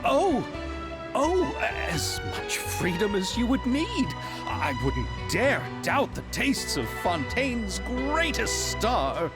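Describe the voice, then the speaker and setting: A man's voice: very high-pitched, wildly swooping pitch, slow, exaggerated voice. A man voicing a nervous, earnest young film director who talks hesitantly and apologetically, eager to please, in a video game. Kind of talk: game voice acting. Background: game music.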